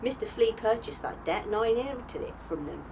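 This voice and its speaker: A woman's voice: on the high side, animated, brisk, vocal fry, noisy background. A British woman playing a streetwise, exasperated young servant, blunt and slangy, in an audio drama. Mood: guarded.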